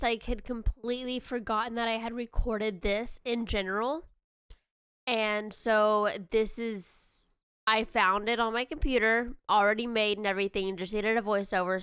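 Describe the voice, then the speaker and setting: Young woman with a nasal cold, relaxed rambling game voice-over, smooth tone, dry booth-like sound. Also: medium pitch, no echo. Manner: rambling, self-correcting, chatty. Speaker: YouTube host. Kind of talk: casual game commentary voice-over.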